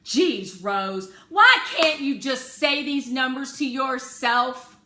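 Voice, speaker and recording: Woman doing a forceful, exasperated, short-tempered dad. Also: high voice, lively intonation, takes it slowly, pitched above the performer's own voice, full character voice. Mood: irritated. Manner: curt, weary, exasperated. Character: short-tempered, exasperated father of a young girl. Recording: roomy echo, faint background noise.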